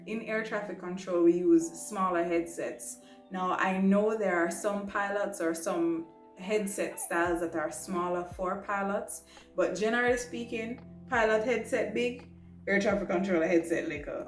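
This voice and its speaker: A woman presenting a casual explainer vlog in a conversational way, often checking in with the listener. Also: mid-range voice. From Jamaica. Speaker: vlog host, air traffic controller and pilot. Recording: roomy echo, music behind. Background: background music.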